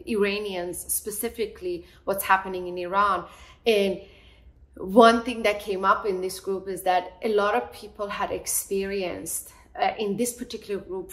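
Bright-voiced middle-aged woman therapist. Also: mid-range voice, poor audio. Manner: measured, explanatory, gestures while talking. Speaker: psychotherapist guest. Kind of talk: earnest interview answers. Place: bright living room indoors. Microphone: lapel mic.

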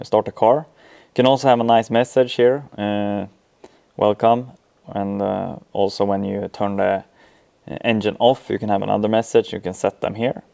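A man in his thirties with a Swedish accent, giving an informal, halting software walkthrough full of ums. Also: fairly low pitch, gravelly. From Sweden. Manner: hesitant, halting delivery with frequent ums. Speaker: tutorial presenter.

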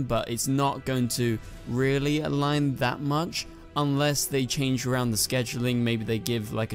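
A young man hosting a channel, talking through entertainment news casually in a hoarse, croaky voice that is going, with rambling asides and fillers. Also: mid-range voice. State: hoarse, losing his voice. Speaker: channel host.

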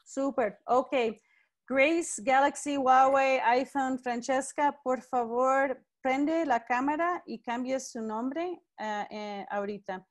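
A woman's voice: sits high, takes it slowly. A woman leading a live online class over a video call, greeting participants by name and giving friendly instructions.

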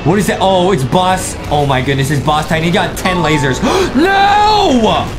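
A man's voice: high voice, unhurried. Young guy hyped up reacting, voice swings all over, teases and repeats stuff, music behind. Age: young adult. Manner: excitable, repetitive, teasing. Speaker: video host. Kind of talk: hyped reaction commentary and banter.

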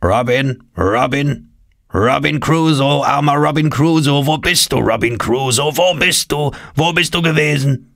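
A man voicing a talking parrot in an audiobook, calling out mournful, repetitive questions in a drawn-out way. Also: medium pitch, creaky, a bit bright, dry recording, clean studio sound, voice pushed up. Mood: plaintive calling.

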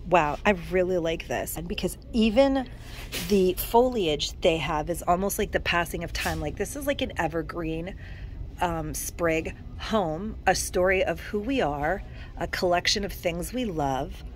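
A woman's voice: on the low side, a little slow, slight background noise. Gushing woman vlogging in a store. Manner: gushing, enthusiastic, chatty. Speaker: shopping vlogger. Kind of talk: casual shop-with-me narration. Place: inside a discount store, walking the aisles. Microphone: handheld phone camera.

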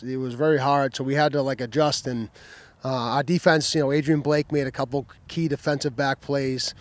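A man in his forties, a high school football coach, giving a matter-of-fact, casual postgame interview outdoors into a handheld microphone. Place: outdoor football stadium field at night. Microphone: handheld microphone held at chest.

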